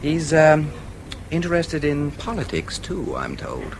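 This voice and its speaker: A man's voice: a little high, huge pitch swings, slow pace, slight background noise, heavily acted. An actor playing a wry, breezy American newspaperman in a radio drama, casual and knowing, with an American accent.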